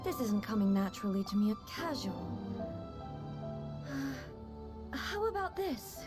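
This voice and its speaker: A woman playing a reserved, stately immortal female ruler in a video game, speaking in a formal, measured, earnest way. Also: fairly high pitch, big pitch swings, loud music behind the voice. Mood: puzzled, awkward. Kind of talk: video game character acting.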